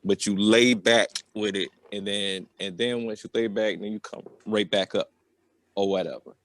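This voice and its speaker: A man's voice: lowish voice, dry recording, clean studio sound. A man telling a story on a podcast in a casual, rambling, slangy way. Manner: colloquial, slangy.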